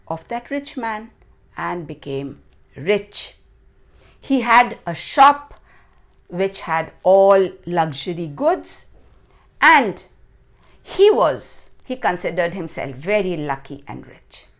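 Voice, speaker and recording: A woman narrates a story for children at an extremely slow, deliberate pace. Her pitch is lively and varied, at a middle height overall. She phrases dramatically and leans on key words.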